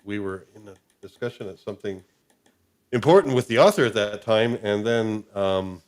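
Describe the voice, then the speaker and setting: A man's voice: lowish voice, normal speed. A man hosting a live stream in casual chat, talking in a hesitant, rambling, appreciative way.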